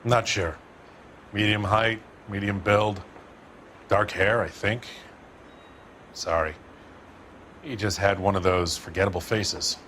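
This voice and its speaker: A man's voice: on the low side, fairly even intonation, takes it slowly, pauses often, a bit bright, a little hiss or hum. A man playing a curt, evasive pawnbroker in a video game, speaking tersely and grudgingly.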